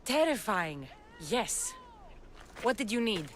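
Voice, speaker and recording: An actress playing a tough, sardonic ancient Greek mercenary with a dry, direct manner in a video game. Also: female voice, medium pitch, sing-song, slow pace, a little hiss or hum. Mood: wry, businesslike. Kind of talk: video game character acting.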